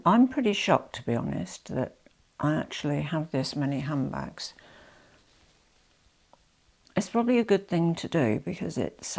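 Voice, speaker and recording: Low gravelly woman with a nasal cold. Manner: rambling, frequent "you know" asides, drops to soft near-whispers. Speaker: vlogger showing her handbag collection. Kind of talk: chatty collection vlog.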